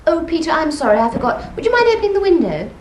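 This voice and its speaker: High-voiced, insistent English young wife. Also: female voice, sing-song, quick pace, echoey room, a little hiss or hum. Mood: bossy. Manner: bossy, coaxing, plaintive. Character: insistent, fussing young married woman, sentimental about her dog. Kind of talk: vintage British stage farce acting.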